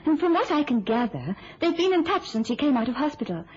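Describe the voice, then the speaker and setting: High, expressive young nurse. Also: female voice, a little fast. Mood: cautious. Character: conscientious, uneasy young nurse, devoted girlfriend. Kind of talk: old-time radio drama acting. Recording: muffled old radio drama recording.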